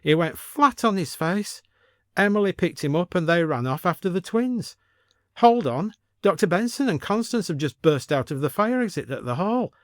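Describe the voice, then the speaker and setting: A man voicing a gossipy village woman giving excited, colloquial running commentary in an audio story. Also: fairly high pitch, no echo, studio quality, voice pushed up. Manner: breathless gossipy chatter, colloquial.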